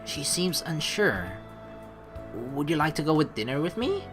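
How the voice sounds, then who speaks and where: Low-voiced, very animated woman let's player. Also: normal speed. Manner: expressive, dramatized reading. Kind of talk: reading game text aloud with casual commentary. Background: game music.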